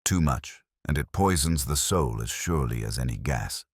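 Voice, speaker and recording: A man voicing a grave, brooding, cerebral detective in a storybook reading, speaking in measured, solemn aphorisms. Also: extremely low pitch, lively intonation, husky, dry recording, studio quality, full character voice. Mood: grim, musing.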